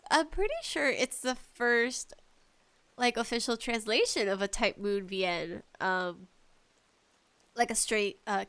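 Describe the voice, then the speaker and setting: A woman hosting a casual solo podcast about games, talking in an animated, playfully exasperated way with emphatic delivery. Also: mid-range voice, creaky. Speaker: podcast host.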